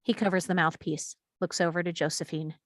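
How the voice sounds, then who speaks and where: A woman's voice: on the low side, fairly even intonation, brisk, dry recording, studio quality. A woman reading stage directions as scripted narration at a screenplay table read.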